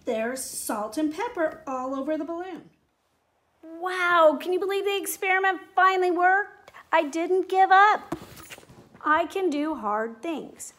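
A middle-aged woman teacher speaking in simple, clear phrases to young children, as in a read-aloud or classroom demonstration. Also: very high voice, a little slow, a bit bright. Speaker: teacher making videos for pupils. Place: indoors at home, living room and kitchen. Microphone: device mic at about arm's length.

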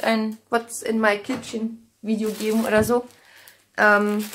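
A woman, chatting casually to camera in an informal, thinking-aloud way on a phone at arm's length in a kitchen. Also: fairly high pitch. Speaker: vlogger. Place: home kitchen. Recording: noisy handheld vlog recording with clicks.

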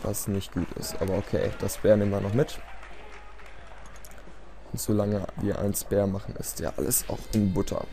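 A man's voice: lowish voice, fairly even intonation, normal speed, gravelly, long pauses, dark tone, slight background noise. A man giving casual, self-deprecating gameplay commentary in a resigned, rambling way. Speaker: let's play commentator. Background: game music.

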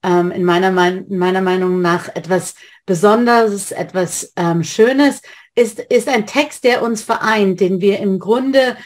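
A woman's voice: medium pitch, unhurried. Rabbi lecturing by webcam from a home study, a woman in her thirties, hesitant and full of fillers. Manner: hesitant, many filler sounds, restarts. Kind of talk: online lecture. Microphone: webcam on a video call.

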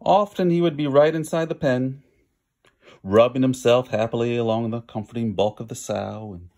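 A man reading a storybook aloud, sometimes pausing to chat with listeners. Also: medium pitch, fairly even intonation, darkish tone. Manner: pauses to chat with listeners and explain words. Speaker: storybook reader. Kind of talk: animated read-aloud storytelling.

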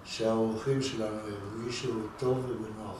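A man's voice: lowish voice, fairly even intonation, racing. A man from Israel answers press conference questions as a football coach. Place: echoey indoor press conference room. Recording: very echoey room, distant mic, clear noise.

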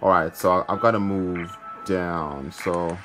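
A man's voice: sits low, fairly even intonation, unhurried. A man hosting a gaming video, commentating casually and thinking aloud in an informal way. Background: game music.

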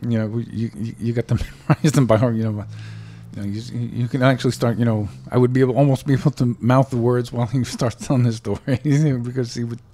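Podcast co-host, male, warm mellow tone, animated storyteller who laughs a lot. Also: medium pitch, fast talker. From United States. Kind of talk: casual chat.

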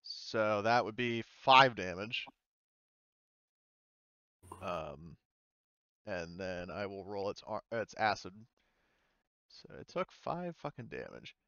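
Bright-voiced man refereeing a tabletop game. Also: on the low side, very animated, slow pace, long pauses, clean tone, no echo, lo-fi sound. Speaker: game master.

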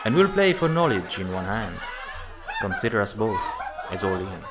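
Man playing a suave, lively-pitched gentleman gambler. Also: moderate pace. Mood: intrigued. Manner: suave, courteous, theatrical. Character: smooth, sociable Italian gentleman gambler with secrets. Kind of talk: amateur radio play acting. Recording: music and crowd chatter behind.

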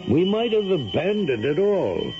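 An actor playing a solemn gentleman narrator, telling an ominous tale in dramatic radio style. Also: high-pitched, sing-song, measured pace, soft background music. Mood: grave, ominous. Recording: lo-fi noisy vintage radio recording.

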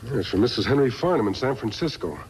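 An actor playing an earnest, steadfast hero in a 1950s radio western, speaking in a measured, formal way. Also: male voice, mid-range voice, expressive, darkish tone, lightly acted. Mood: earnest, concerned. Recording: noisy vintage 1950s radio recording.

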